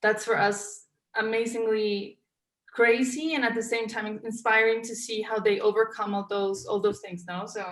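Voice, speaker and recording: A woman's voice: a little high, flat delivery, moderate pace, fairly bright tone. Hesitant Colombian woman in an echoey room. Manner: hesitant, many "um" fillers, searching for words. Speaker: podcast guest, co-founder. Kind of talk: casual interview talk.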